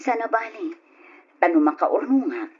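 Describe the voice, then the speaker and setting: A woman acting a weary, caring mother and long-suffering wife with a husky voice in an old Ilocano radio drama. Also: mid-range voice, big pitch swings, moderate pace, dull audio. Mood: pleading.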